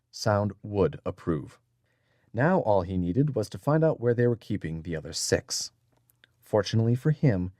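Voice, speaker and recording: Male story narrator, deep and solemn, close dry recording over faint music. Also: a little mellow, no room sound. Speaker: audiobook narrator. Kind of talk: dramatic scripted story narration.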